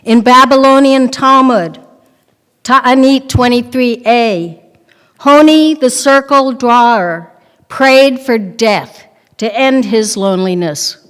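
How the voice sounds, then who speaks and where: An older woman reads aloud very slowly in a crisp, resonant voice. It is fairly high and echoes in a hall. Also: fairly bright tone. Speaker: reader in a readers theater. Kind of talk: scripted public reading.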